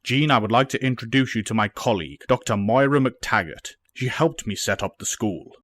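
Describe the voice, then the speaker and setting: An actor playing a calm, fatherly headmaster with a measured, formal way of talking in an audio drama. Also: mid-range voice, no echo, clean studio sound, close to an everyday voice. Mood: patient, serious. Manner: measured, formal, gentle authority.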